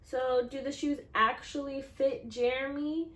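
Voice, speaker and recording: A woman reading a children's picture book aloud expressively, acting out the dialogue and pausing to put questions to listeners. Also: sits high, fairly even intonation, a bit bright, roomy sound. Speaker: read-aloud storyteller.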